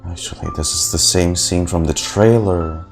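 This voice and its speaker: A man's voice: low-pitched, normal speed, faint music behind. A man streaming a video game, giving casual play-by-play reactions and reading on-screen text aloud.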